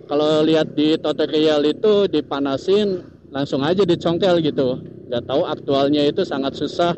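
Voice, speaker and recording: A man's voice: a little high, normal speed. A man, chattily telling a story to viewers in a casual motovlog, with repeated tag phrases. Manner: chatty, repetitive tag phrases, chuckles often. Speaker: motovlogger host. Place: outdoors, riding a motorcycle in traffic. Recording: muffled, loud vehicle and road noise.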